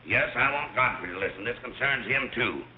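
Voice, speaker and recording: A man's voice: medium pitch, animated, a little fast, close to an everyday voice. An actor playing a blunt, exasperated middle-aged father with dry sarcasm in a 1930s-style film comedy. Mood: grimly resolved. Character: gruff, exasperated wealthy father losing his fortune. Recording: muffled, noisy old film soundtrack.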